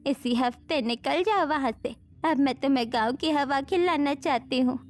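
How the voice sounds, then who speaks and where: A woman playing an affectionate, insistent elderly village grandmother in an animated family story, coaxing and bossy. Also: sits high, moderate pace, natural voice.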